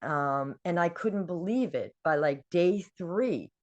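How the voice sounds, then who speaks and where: An older woman from New England, a guest telling her personal story in an earnest, emotional way with frequent pauses and ums. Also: on the low side, lively intonation. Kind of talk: personal testimony.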